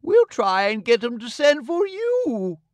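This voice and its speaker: A man's voice: extremely high pitch, huge pitch swings, unhurried, no echo, voice pushed up, full character voice. Brief: man reading a smug old con man, drawling, lilting up and down, dry close sound. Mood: mocking. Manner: drawling, self-satisfied, long-winded. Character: pompous old male con man posing as an Englishman. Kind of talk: audiobook character reading.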